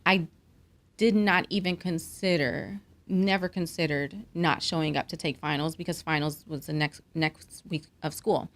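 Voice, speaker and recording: A woman's voice: deep voice, steady tone, moderate pace. A woman doctor speaking as a podcast guest, telling her story in an earnest, reflective way with frequent fillers. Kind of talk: conversational interview.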